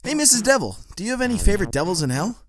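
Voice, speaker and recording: A woman's voice: medium pitch, big pitch swings, rapid-fire. A voice actor, heard as a woman, reading out audience questions for a cartoon comic dub. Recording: loud music behind the voice.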